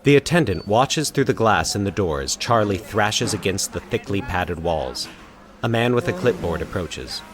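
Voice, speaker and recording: A man's voice: on the low side, animated, moderate pace, a little hiss or hum. A man giving dramatic scripted narration as a podcast host and storyteller.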